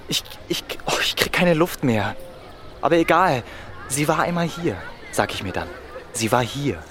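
An actor playing a lovesick, sarcastic teenage schoolboy in a radio drama, emotional and halting, repeating words and swearing. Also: male voice, mid-range voice, a bit bright, slight background noise. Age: young adult.

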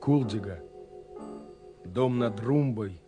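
A man's voice: on the low side, slow pace. An actor playing an ardent, poetic young man in love, reading letters with rapt tenderness in a radio play. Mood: rapt, dreamy. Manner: rapt, swelling then dropping to hushed tenderness. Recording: 1970s radio production with music. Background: music.

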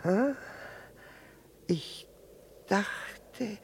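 An actor in a German radio play voicing a jealous, evasive husband with a husky voice, stammering, repeating himself and rambling with excessive politeness. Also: male voice, sits high, lively intonation, drawn-out words, full character voice. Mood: nervous, pleading. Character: flustered, evasive jealous husband posing as a bachelor.

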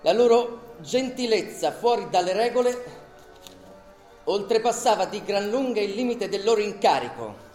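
A man's voice: sits high, lively intonation, normal speed, darkish tone. An actor playing an indignant accused man in a radio drama, declaiming rhetorically and sarcastically to a tribunal. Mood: sarcastic indignation. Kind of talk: theatrical radio drama monologue. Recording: roomy echo, music behind.

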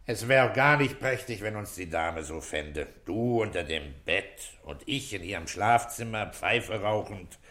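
An actor playing a middle-aged police inspector in a German radio drama, speaking in a calm, coaxing, fatherly and shrewd manner. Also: on the low side, measured pace, hardly any pauses.

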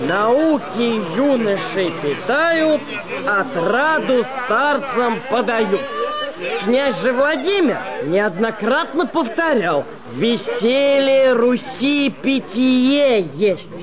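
An actor playing a pompous orator in a radio drama, declaiming a grandiose toast amid a noisy crowd. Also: male voice, extremely high pitch, lively intonation, extremely slow, heavily acted. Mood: pompous, festive. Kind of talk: audio drama speech-making.

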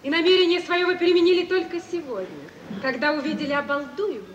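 A woman's voice: very high-pitched, fairly even intonation, fast, highs cut off. An actress playing a mocking, self-possessed society lady with arch, theatrical 1950s radio delivery. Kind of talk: 1950s radio play stage acting. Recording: echoey distant old radio recording, faint noise.

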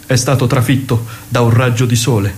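A man's voice: mid-range voice, flat delivery, fairly quick, a little hiss or hum, close to an everyday voice. A man acting a pompous, melodramatic doctor in a mock-serious radio theatre parody. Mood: mock-dramatic. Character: pompous male doctor colleague, melodramatic poet-surgeon.

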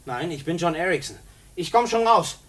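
An actor playing an uneasy, haunted man in a German radio play, speaking in a brooding, halting way. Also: fairly high pitch, expressive, fairly bright tone. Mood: frightened, agitated. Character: haunted, uneasy husband and condemned man, John.

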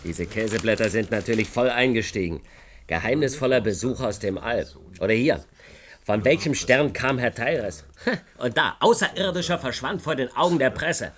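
A man's voice: mid-range voice, expressive, moderate pace, a bit bright, natural voice. An actor playing a blunt, sarcastic male journalist in a German radio play. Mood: dismissive. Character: gruff, sceptical, scornful male newspaper journalist.

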